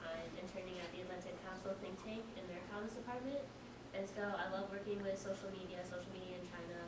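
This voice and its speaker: Young woman rambling thru her intro, lots of ums, mic far away. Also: medium pitch. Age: young adult. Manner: chatty, rambling, many fillers. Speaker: student panelist. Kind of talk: self-introduction on a panel. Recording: echoey room, far from the mic, loud noise.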